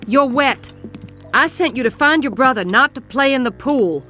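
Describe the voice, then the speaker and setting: A woman's voice: sits high, lively intonation, normal speed, over music. A woman playing a cold, embittered mother in a classic television drama, speaking in a curt, scolding manner. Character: cold, stern, embittered mother of two children.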